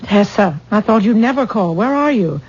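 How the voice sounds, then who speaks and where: A woman's voice: mid-range voice, lively intonation, moderate pace, darkish tone, slight background noise, lightly acted. An actress playing a sensible, protective, no-nonsense woman with a dry, skeptical manner in a 1970s radio drama. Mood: guarded, kindly. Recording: muffled, dull old radio broadcast.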